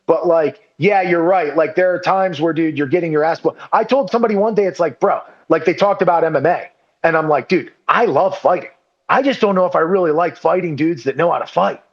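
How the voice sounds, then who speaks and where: A man's voice: a little high, brisk, lo-fi sound. A man in casual podcast chat, rambling and self-deprecating, swearing freely and saying "dude" and "like" constantly. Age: under 40. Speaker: podcast guest.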